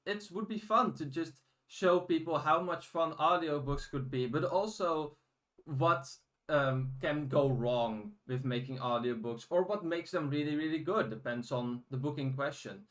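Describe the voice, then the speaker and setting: Man, podcast host, slightly high-pitched, casual chat, informal blunt delivery. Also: a little slow, fairly bright tone.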